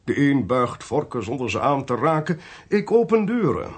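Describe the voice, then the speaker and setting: A man acting a suave, talkative official in a radio drama, politely sly and self-possessed, with a husky, gravelly voice and urbane, theatrical delivery. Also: medium pitch, very animated, normal speed. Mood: wry, urbane.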